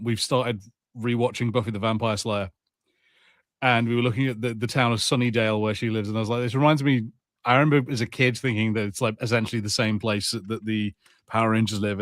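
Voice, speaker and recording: Male podcast co-host, medium pitch, dry recording, casual and anecdotal. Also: no echo. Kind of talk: casual chat.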